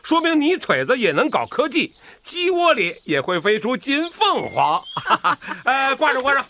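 An actor playing a proud, stubborn, hearty old farmer in a radio drama, with folksy, exclamatory speech. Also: male voice, very high voice, huge pitch swings, measured pace, sharp tone, slight background noise, exaggerated voice. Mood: proud, elated. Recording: studio radio drama with music behind.

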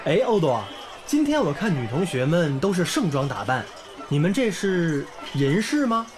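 An actor playing a young volunteer teacher in a village who speaks warmly and encouragingly. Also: male voice, fairly high pitch, big pitch swings, normal speed. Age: young adult. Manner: warm, encouraging tone. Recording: background music under the scene.

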